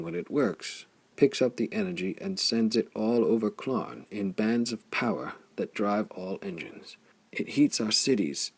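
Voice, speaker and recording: A man voicing a weary, sorrowful old warrior, speaking in a gravelly, husky, heavy-hearted way. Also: lowish voice, exaggerated voice.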